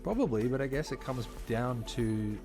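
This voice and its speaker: A man talking casually while live-streaming a game, slightly hoarse, chatty and full of fillers, from a home streaming setup. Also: mid-range voice, lively intonation, moderate pace. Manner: chatty, filler-heavy, talks while playing. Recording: clear background music under voice.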